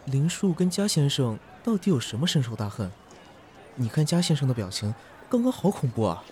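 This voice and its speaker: An actor plays a gossipy man who alarms easily. His mid-pitched voice is dramatic and lively, in audio drama style. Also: fairly quick, a little hiss or hum, close to an everyday voice. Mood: alarmed.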